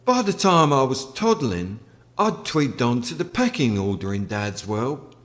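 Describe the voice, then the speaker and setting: A man reads from a novel in a theatrical storytelling style. His pace is slow and deliberate. His intonation rises and falls widely, at a medium pitch for a man. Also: very animated. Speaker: author reading from own novel. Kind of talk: literary audiobook-style narration.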